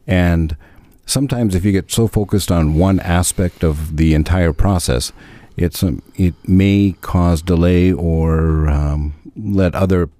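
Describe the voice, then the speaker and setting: Low, mellow-voiced male commissioner. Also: no room sound. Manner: measured, halting. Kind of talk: radio interview guest.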